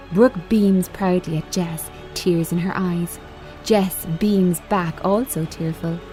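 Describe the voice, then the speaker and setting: A woman reads screen directions in a measured, unhurried way. Her voice is slightly low and very mellow, delivering short phrases of scripted narration. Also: poor audio. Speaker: screenplay reader.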